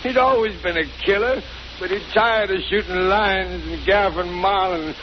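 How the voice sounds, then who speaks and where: A man's voice: high voice, normal speed, natural voice. An actor playing a tormented, frightened, guilt-ridden man in a 1930s radio drama, with an anguished, melodramatic delivery. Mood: bitter, desperate. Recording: noisy, muffled 1930s radio recording.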